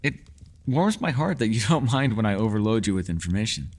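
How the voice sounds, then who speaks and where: Man playing a lively, low-voiced learned elf. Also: a little fast. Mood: fond, cautious. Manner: bookish, rambling, hesitant asides with playful formal phrasing. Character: mysterious, learned male elf, talkative and gently teasing. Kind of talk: fantasy ASMR roleplay voice acting, addressing the listener.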